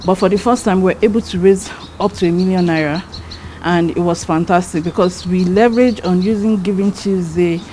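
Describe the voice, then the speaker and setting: A woman's voice: lowish voice, fairly even intonation, a little fast, warm tone, a little hiss or hum. A woman from Nigeria, a charity's country leader, talking conversationally in an interview.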